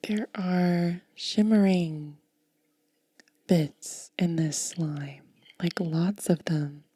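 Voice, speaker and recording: A woman doing soft-spoken ASMR, mostly whispering in a gentle, breathy, casual way as she narrates a hands-on demonstration close to the mic. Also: fairly low pitch, fairly even intonation, no room sound. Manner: gentle, unhurried, casual commentary. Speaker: ASMR video creator and host. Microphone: close mic, dry.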